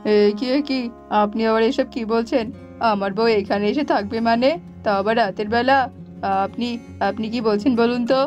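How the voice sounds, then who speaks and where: A woman playing an excitable, easily bewildered young bridegroom in a cartoon, full of exclamations and repeated pleas. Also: a little high, normal speed, muffled recording, soft background music, natural voice. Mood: alarmed. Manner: exclamatory, pleading, repetitive. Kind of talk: Bengali cartoon voice acting.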